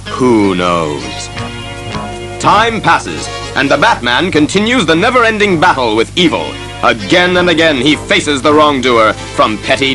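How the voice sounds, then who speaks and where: A man narrating a superhero audio drama in a grand, suspenseful, declamatory manner. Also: medium pitch. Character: dramatic male storyteller of superhero adventures. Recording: cassette audio with music behind.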